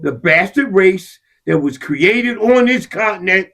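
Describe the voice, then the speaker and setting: A man in heated casual discussion, impassioned and profane, his voice rising to shouts. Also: sits high, lively intonation, measured pace. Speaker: podcast co-host.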